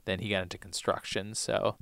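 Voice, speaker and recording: Man with a clear, dry podcast voice. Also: on the low side, level tone, brisk, a bit bright, no echo. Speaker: podcast co-host. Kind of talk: casual chat, recapping TV episodes.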